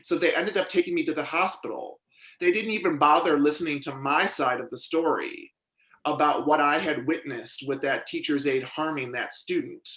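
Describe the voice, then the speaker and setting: A man giving steady, measured first-person voice interpretation of a deaf witness's testimony at a legislative hearing. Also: fairly high pitch, roomy sound, rough recording. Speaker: sign language interpreter voicing a deaf witness. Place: hearing room.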